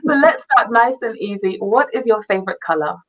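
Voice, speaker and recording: A woman's voice: mid-range voice, expressive, a little fast, lo-fi sound. A woman hosting a faith-based podcast, interviewing a guest in an encouraging, easy conversational way. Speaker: podcast host.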